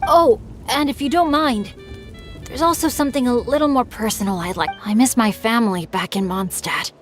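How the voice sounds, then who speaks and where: Woman as an earnest, high-voiced teenage boy adventurer. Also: moderate pace, soft background music, natural voice. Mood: earnest, wistful. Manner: earnest, bashful, chatty. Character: earnest, good-natured teenage boy adventurer, humble and upbeat. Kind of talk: anime-style game voice acting.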